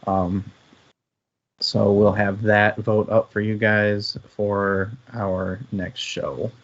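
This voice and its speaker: A low-pitched male book show host chats casually in a flat, even tone. He feels his way through sentences, thinking aloud. The audio is slightly dull and lo-fi. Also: very slow. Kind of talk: casual book discussion chat. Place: live online stream.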